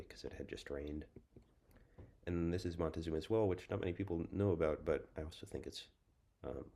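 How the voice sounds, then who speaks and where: A young American man giving a prepared student presentation, speaking in a measured, thoughtful way with frequent ums. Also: a bass voice, mellow. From United States. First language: English. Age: young adult.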